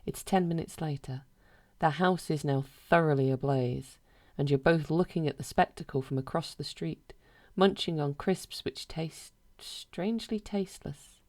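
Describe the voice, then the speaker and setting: A woman reading a literary short story aloud as narrator, in a measured, deadpan way. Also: low-pitched.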